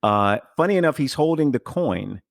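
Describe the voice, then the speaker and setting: A man hosting a casual podcast, recapping a story in a halting, think-aloud way and paraphrasing bits of dialogue. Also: mid-range voice, expressive, creaky, dry recording, clean studio sound. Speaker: podcast host.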